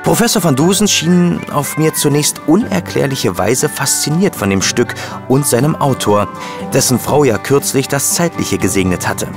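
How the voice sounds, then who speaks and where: Guy playing a wry detective's sidekick and chronicler, plain-spoken mid-pitched voice, audio drama with music behind. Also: expressive, normal speed, close to an everyday voice. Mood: measured storytelling. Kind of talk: German audio drama narration and dialogue.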